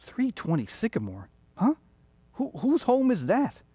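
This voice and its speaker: Casting: actor as earnest, flustered young male banker in a radio play, very lively intonation. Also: mid-range voice, unhurried, slightly rough, dry recording, full character voice. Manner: earnest, stammering. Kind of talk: radio drama acting.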